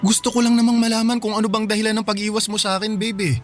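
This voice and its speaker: High-voiced, devoted Filipino boyfriend played by an actor. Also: male voice, level tone, racing, soft background music, natural voice. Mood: hurt, pleading. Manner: pleading, earnest. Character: devoted, clingy, anxious young boyfriend. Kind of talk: Filipino radio drama acting.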